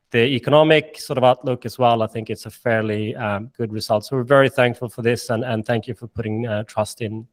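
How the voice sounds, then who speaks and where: A man with a Swedish accent speaks at an ordinary pitch. He presents a business webinar in an upbeat tone and often pauses to restart a sentence. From Sweden and Finland. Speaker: managing director presenting.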